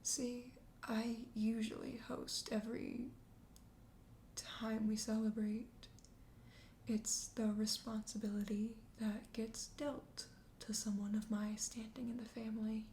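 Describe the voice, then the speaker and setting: A woman acts out a suave vampire host in an ASMR roleplay, murmuring in a soft, breathy whisper with a dark, mellow tone. The delivery is slow, coaxing and flirtatious, set in a roomy, echoing space. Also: a little high, level tone. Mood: gentle, charming. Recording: roomy echo, faint background noise.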